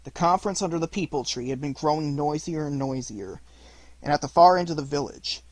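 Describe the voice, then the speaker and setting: A man's voice: on the high side, a little mellow, no room sound, rough recording. A man reading a literary story aloud as an audiobook narrator, in a scripted narration style.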